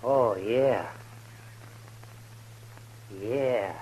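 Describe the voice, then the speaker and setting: A man's voice: mid-range voice, extremely slow, darkish tone, a little hiss or hum, rough recording. A man playing a curt, callous western outlaw henchman in an old-time radio drama, offhand and clipped. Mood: callous, wary.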